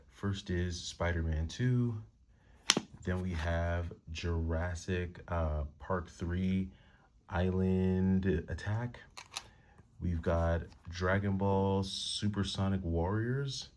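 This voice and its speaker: Hushed deep-voiced man showing off games. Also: slight background noise. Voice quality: deep rumbling voice. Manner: quiet, hushed talk to avoid waking the family. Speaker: retro game collector vlogger. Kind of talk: casual haul show-and-tell. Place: room at home at night.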